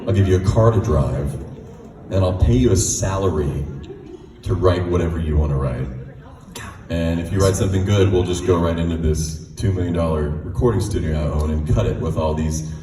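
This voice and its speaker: A middle-aged American man with a very deep voice, telling stories casually as a singer-songwriter at a live event. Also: a little fast, dark tone. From United States, Texas. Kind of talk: casual stage storytelling. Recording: echoey hall, distant mic, background noise.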